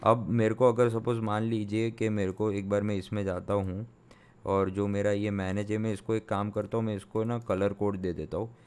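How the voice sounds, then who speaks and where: A young man, a sound engineer from India, explains software step by step in a tutorial, thinking aloud with many fillers and restarts. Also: lowish voice, little pitch movement, normal speed, clean tone. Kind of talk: screen-recorded software tutorial.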